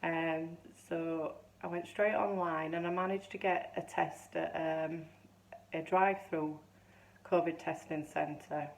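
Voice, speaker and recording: A woman in her fifties chatting casually to camera, rambling and restarting as she tells a story, her voice still a little hoarse. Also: low voice, fairly even intonation, slow. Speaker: vlogger.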